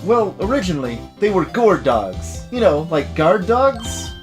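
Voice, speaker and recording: A woman voicing a goofy, excitable young man who talks in boastful slang and stammers when flustered, in video game dialogue. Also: lowish voice, unhurried, over music. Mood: breezy, boastful. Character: goofy, excitable young man, slacker hot dog vendor. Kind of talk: voiced visual novel game dialogue.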